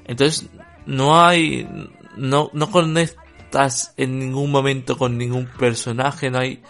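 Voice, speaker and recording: A man with a Spanish accent from Spain talks through a book review, stumbling over fillers and restarts. His pitch sits in an ordinary middle range. He gets blunt and dismissive, and quiet music plays faintly behind him. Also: fairly even intonation, slow. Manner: hesitant, full of fillers, bluntly critical. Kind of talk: casual spoken book review.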